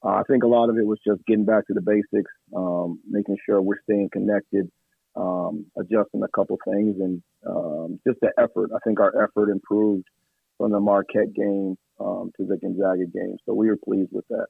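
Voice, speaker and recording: A man, an assistant basketball coach, answering radio interview questions in a measured way with many fillers, his voice hoarse from yelling at practice. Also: lowish voice, little pitch movement, dry recording. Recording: tinny phone line.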